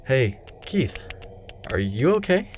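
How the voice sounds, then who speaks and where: A man's voice: medium pitch, big pitch swings, takes it slowly, background noise. A man playing a casual, upbeat, loyal young sidekick in an audio drama. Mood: friendly. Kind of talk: radio drama acting.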